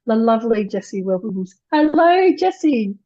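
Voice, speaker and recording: A woman's voice: fairly high pitch, very animated, moderate pace, dark tone. A woman hosting an interview show, effusive and gushing, often stumbling and restarting her sentences. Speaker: show host. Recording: dull lo-fi sound.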